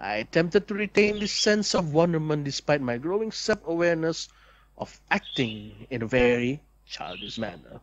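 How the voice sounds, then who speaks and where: A young man reads visual novel narration aloud as a let's player, deliberate and storybook-like, with casual asides. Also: on the high side, sing-song, highs cut off.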